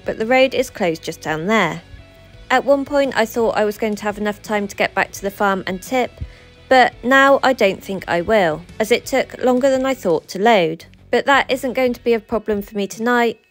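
A woman in her thirties, a truck driver, narrating her work in a steady voice-over, explaining each step. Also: on the high side, normal speed, soft background music.

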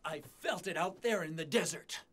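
Earnest young hero prince. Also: male voice, fairly high pitch, normal speed, fairly bright tone. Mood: resolute. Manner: earnest, resolute.

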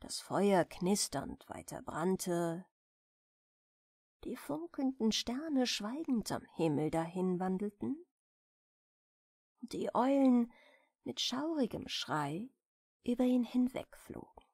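Slow, expressive woman reading a bedtime story. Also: medium pitch, husky, no room sound, clean studio sound. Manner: gentle, measured, expressive storytelling. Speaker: audiobook reader of bedtime stories. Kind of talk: soft bedtime story narration.

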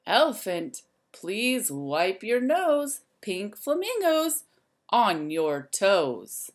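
Animated woman reading slowly to children. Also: mid-range voice. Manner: slow, sing-song, addressing children directly. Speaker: teacher reading to young children. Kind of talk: expressive children's picture-book read-aloud with asides.

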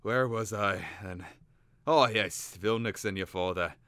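A man's voice: lowish voice, a little slow, fairly bright tone, no echo, studio quality. A man voicing an impatient talking caterbird who tells a tale in a storybook. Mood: storytelling. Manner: impatient, hissing. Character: talkative, testy male caterbird storyteller.

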